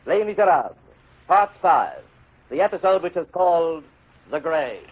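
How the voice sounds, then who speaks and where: A man's voice: on the high side, sing-song, normal speed, very noisy. A man formally introducing a 1930s radio drama. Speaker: radio announcer. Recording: thin, phone-like 1930s radio.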